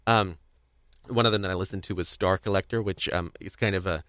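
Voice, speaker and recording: A man's voice: sits low, fairly even intonation, a little fast, fairly bright tone, no room sound, studio quality. A man hosting a podcast in casual conversation, speaking in a hesitant, rambling, stop-start way. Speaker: podcast host. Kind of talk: casual discussion of audio drama.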